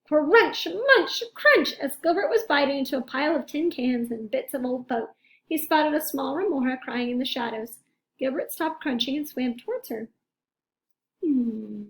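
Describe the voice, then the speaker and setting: A woman's voice: high voice, normal speed, fairly bright tone. A woman teacher reading a picture book aloud to children, expressively and talking directly to young listeners.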